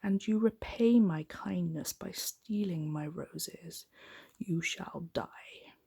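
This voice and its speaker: A woman voicing a big, fierce, stern male beast in a slow, whispery bedtime storybook reading. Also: fairly low pitch, dark tone, exaggerated voice. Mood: stern, accusing. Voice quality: whispery, breathy.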